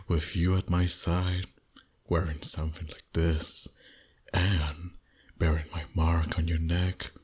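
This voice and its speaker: Casting: man to play a flirty, commanding male vampire boss for ASMR roleplay; rock-bottom pitch, unhurried, teasing, half-whispered delivery. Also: exaggerated voice. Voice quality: breathy, husky, often near a whisper. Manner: slow, teasing, flirtatious, dominant. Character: suave, dominant, flirty male vampire boss wooing his date. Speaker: voice actor.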